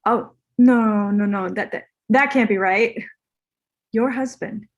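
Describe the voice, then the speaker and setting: A woman's voice: mid-range voice, slow, lo-fi sound. A woman playing a vain, laid-back young male movie star, hesitant and defensive, in a comedy table read. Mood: flustered.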